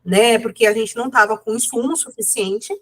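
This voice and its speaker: Woman with a cold, high voice, rambly casual interview talk. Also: normal speed, fairly bright tone. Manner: rambling, many fillers and self-corrections. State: has a cold.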